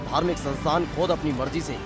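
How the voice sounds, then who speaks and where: Male TV news narrator, slightly high voice, dramatic read, music bed beneath. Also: quick pace. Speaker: news anchor. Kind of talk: scripted news voice-over.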